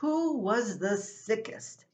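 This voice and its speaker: An older woman reading a scripted, humorous family column aloud as its writer, in an expressive storytelling manner. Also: a little high, slow pace. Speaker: columnist reading own writing.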